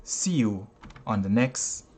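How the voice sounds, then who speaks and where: A man narrating in an inspirational audiobook style, deliberate and halting, with pauses between short phrases. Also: lowish voice, lively intonation, a little mellow, slightly rough. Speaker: audiobook narrator and channel host. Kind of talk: inspirational book reading.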